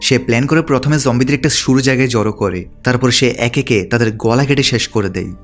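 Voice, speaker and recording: A man's voice: medium pitch, racing. A man delivering scripted narration as the narrator of a movie recap. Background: background music.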